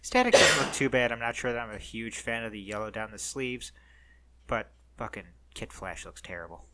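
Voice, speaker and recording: A man co-hosting a casual podcast, relaxed and hesitant, reading out news items with fillers. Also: mid-range voice, normal speed. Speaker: podcast co-host.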